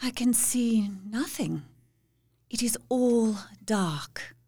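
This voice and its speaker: An actress playing a haunted, earnest young wife in an audio drama, speaking in a slow, measured way. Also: female voice, on the high side, warm tone, breathy edge, exaggerated voice. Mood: entranced. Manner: slow, measured, dreamy under trance.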